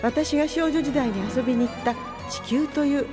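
A woman voicing a gentle, graceful queen and mother in an anime cartoon, soft, refined and polite. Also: high voice, normal speed, music in the background, lightly acted. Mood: nostalgic. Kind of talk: Japanese anime acting.

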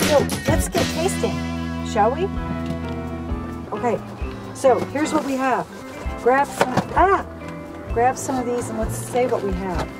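A woman's voice: high voice, lively intonation, slow, long pauses, loud music behind the voice. A woman in her fifties hosting a casual snack review in a parked car, chatty and enthusiastic. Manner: chatty, enthusiastic, exclaims over items. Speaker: host. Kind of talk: casual chat, product review. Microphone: camera mounted in car.

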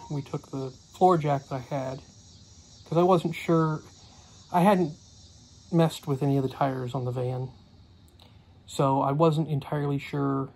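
A man's voice: mid-range voice, gravelly, darkish tone. A middle-aged man giving a casual daily vlog recap, rambling with many fillers, recorded on a phone camera close to his face in a small cluttered room. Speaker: homestead vlogger.

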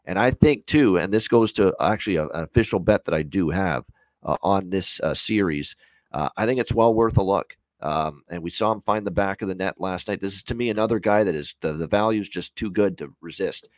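A man's voice: deep voice, brisk, no room sound. A Canadian man in his thirties giving conversational sports betting analysis in a discursive way, repeating phrases for emphasis. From Hamilton area, Ontario, Canada. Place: small home room on a video call.

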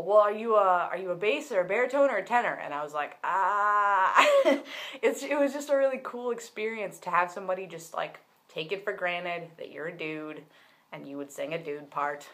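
A man's voice: high voice, a bit bright. Young man chatting casually to a webcam. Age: young adult. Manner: chatty and candid, many fillers and restarts. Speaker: vlogger. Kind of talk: casual personal vlog. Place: bedroom with bookshelves, indoors.

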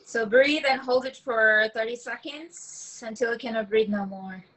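A young woman speaks in a bright, fairly high voice on a video call. Her delivery is casual and emphatic, with key points repeated. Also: normal speed, rough recording. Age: young adult. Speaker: actor at a table read. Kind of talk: casual meeting talk. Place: indoor room, on a video call.

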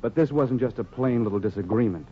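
A man's voice: medium pitch, fast talker, natural voice. A man with an American accent acting an earnest, plaintive, lovelorn working-class husband in 1940s radio comedy. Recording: dull, hissy 1940s radio recording.

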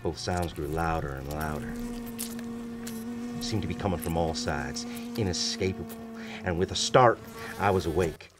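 An actor playing a wounded, gentlemanly soldier in a radio drama, narrating and speaking in a grave, formal, courteous manner. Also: male voice, deep voice, big pitch swings, normal speed, noisy background. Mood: hushed, brooding. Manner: formal, grave, old-fashioned courteous speech. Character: wounded gentlemanly soldier recounting his haunted, doomed past. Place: small indoor radio studio. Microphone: shared vintage-style studio mic on stand.

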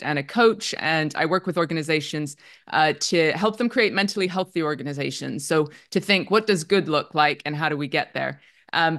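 Dutch woman, kinda canadian accent, smooth clear voice, reflective, lots of likes and ums. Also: deep voice, fairly bright tone. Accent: somewhat Canadian. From Netherlands. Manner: open, reflective, with fillers like "um" and "like". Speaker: guest, psychotherapist. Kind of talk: telling her story on a video call. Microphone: video call.

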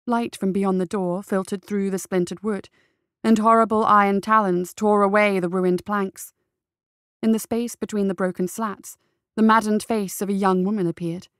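A woman's voice: medium pitch, dry recording, studio quality. A woman reading a scripted story as an audio fiction narrator.

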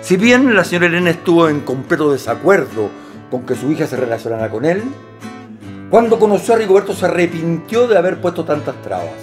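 An actor with a Chilean accent playing a genial host who narrates a radio comedy in a theatrical style. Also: male voice, on the high side, very animated, a little fast. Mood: storytelling. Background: guitar music.

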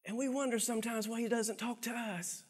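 A middle-aged man preaching a sermon from a church stage on a lapel mic, animated and swinging between quiet and loud passages. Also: extremely high pitch, fairly quick, husky. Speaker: pastor.

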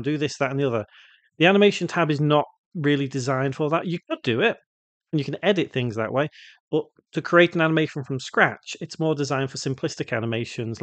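A man presenting an unscripted software tutorial, explaining step by step and posing questions that he answers himself. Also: mid-range voice, dry recording.

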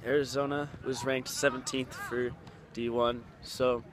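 A man's voice: medium pitch, level tone, unhurried. A young American man chatting casually to camera in a slangy way, recorded on a phone held at arm's length. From Texas, USA. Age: young adult. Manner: slangy, mildly profane. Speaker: vlogger, college rugby player. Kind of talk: casual vlog chat to camera. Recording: background chatter, handheld outdoor and indoor audio.

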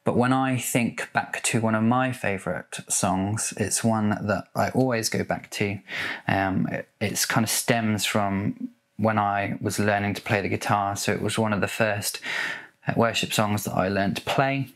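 A man in his thirties with a fairly low voice talks to camera at home. He gives a casual devotional message, pausing and feeling his way through it at an unhurried, hesitant step. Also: fairly even intonation, fairly bright tone. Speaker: church member presenting a devotional video. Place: small home room with bookshelves, indoors.

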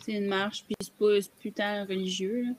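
A woman's voice: medium pitch, flat delivery, moderate pace, a little hiss or hum, poor audio. A young woman with a Québécois accent chatting casually on a video-call podcast from a room at home. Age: young adult. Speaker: podcast panelist. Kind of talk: casual chat.

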